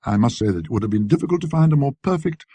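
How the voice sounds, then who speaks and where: A man's voice: mid-range voice, animated, rapid-fire, mellow, husky, no room sound. A man narrating a scripted ghost story in a precise, scholarly manner.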